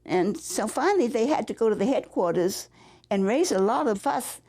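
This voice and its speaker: A woman's voice: fairly high pitch, animated, normal speed, mellow, breathy edge. A woman in her nineties with a New England accent, telling her own stories in a chatty interview. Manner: chatty storytelling with asides. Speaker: veteran interviewee. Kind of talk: reminiscing in an interview.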